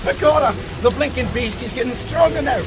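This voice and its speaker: A man playing an excitable, panicky superhero in an audio drama, exclaiming rather than talking. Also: very high voice, a little fast, noisy background. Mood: panicked.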